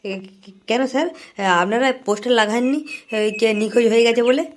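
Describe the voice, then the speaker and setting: A man's voice: very high voice, quick pace, a little hiss or hum. A man voicing a cagey, money-minded young informer in a comic cartoon, wheedling and chatty. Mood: eager, bargaining.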